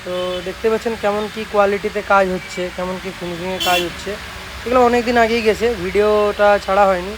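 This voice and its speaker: A man's voice: high-pitched, fast talker. A young man with a West Bengal Bengali accent, presenting products casually in an informal, repetitive, reassuring way. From West Bengal, India. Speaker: speaker repair technician and channel host. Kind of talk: casual product showcase vlog. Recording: loud steady background noise, amateur recording.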